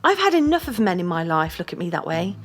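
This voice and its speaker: A woman's voice: on the low side, big pitch swings, quick pace. An actress playing a domineering, short-tempered girlfriend in an audio play, blunt, scolding and foul-mouthed. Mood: reproachful.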